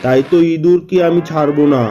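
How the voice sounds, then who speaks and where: A man's voice: a little high, quick pace, warm tone, natural voice. A man voicing a fussy, grumbling village animal in a children's cartoon, with comic exclamations. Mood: determined. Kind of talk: Bengali children's cartoon voice acting. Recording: dull lo-fi sound, music behind.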